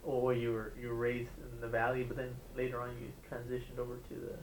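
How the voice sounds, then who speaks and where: A man interviewing a state representative for an oral history project, asking questions in a halting way, with many fillers and restarts. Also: mid-range voice, completely flat delivery. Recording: echoey room with background noise.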